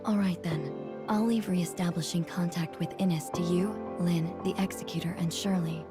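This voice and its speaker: A woman playing a brisk female commander in a video game, handing out orders in scripted dialogue. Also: lowish voice, steady tone, close to an everyday voice. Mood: businesslike. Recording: game music behind the voice.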